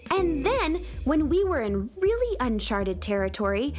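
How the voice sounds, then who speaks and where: A plucky young space cowgirl, acted by a woman, speaks in a high, lively voice over music. She is an eager adventurer from a children's radio serial. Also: a little slow. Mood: excited storytelling.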